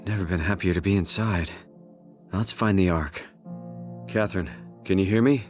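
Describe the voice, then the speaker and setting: A man's voice: low voice, moderate pace, recorded from a distance, faint music behind, natural voice. An actor with a North American accent playing a weary, distressed man uprooted into a robot body, in a naturalistic, conversational video game style. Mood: tense, resigned. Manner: conversational, swearing, brooding.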